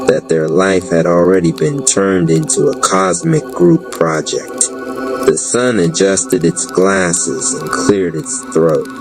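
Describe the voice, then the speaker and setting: A man with a very low voice narrates a scripted story over clear background music. He takes his time, speaking slowly, with a wry sense of drama. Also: expressive. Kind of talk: scripted spoken-word narration.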